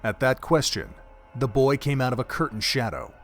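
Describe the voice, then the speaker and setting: A man giving scripted story narration for an audio drama in a measured, even way. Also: medium pitch, soft background music.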